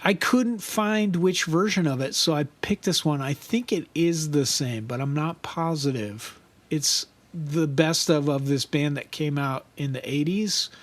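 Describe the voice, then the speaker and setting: A man with a high voice, co-hosting a podcast in a casual chat and telling stories with lots of laughter. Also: measured pace. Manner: animated, laughs often, trails off and restarts. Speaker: podcast co-host.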